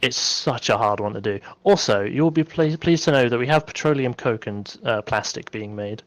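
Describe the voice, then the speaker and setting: A man's voice: mid-range voice, moderate pace, no echo. A man playing a game cooperatively with a friend, laid-back and dryly joking. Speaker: co-op player. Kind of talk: casual co-op gameplay chat.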